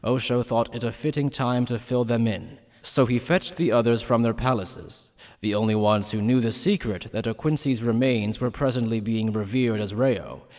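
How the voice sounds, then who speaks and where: A man narrating a fantasy anime fan dub as a solemn, even-toned storyteller. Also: mid-range voice, moderate pace, hardly any pauses, lightly acted. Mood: solemn, expository. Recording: long reverb on the voice.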